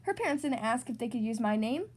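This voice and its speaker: An excitable little girl acted by a woman reading aloud from a picture book. The voice is high and leaps about in pitch. She pleads and protests emphatically, like a child. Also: fast, breathy edge. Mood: indignant. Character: excitable, indignant little schoolgirl in a storybook.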